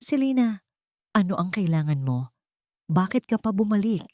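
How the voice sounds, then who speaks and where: A woman's voice: mid-range voice, very animated, moderate pace, darkish tone, dry recording, lightly acted. A woman narrator voicing a scheming, anxious man hiding his guilt in a story. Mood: nervous. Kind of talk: dramatic storybook dialogue.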